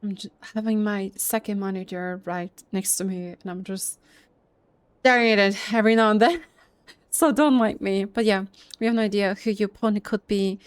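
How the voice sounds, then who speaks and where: A woman streaming a game playthrough with casual, chatty commentary, musing and halting as she goes. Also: mid-range voice, clean tone, dry recording. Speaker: streamer, let's player. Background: game music.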